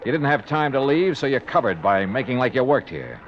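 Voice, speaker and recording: Man playing tough investigator, mid-pitched, lively delivery, wry, 1950s radio drama, dull old recording. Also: fairly quick, slight background noise, natural voice. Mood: tough, confrontational. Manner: wry, punchy, hardboiled. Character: wry, tough hardboiled male insurance investigator. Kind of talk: 1950s radio drama acting and first-person narration. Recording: muffled vintage radio recording with music.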